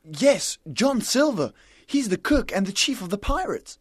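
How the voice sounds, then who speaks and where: A man voicing an earnest high-pitched young boy adventurer. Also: big pitch swings, a little slow, husky, dry recording, studio quality, heavily acted. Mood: grave. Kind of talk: dramatised storybook reading.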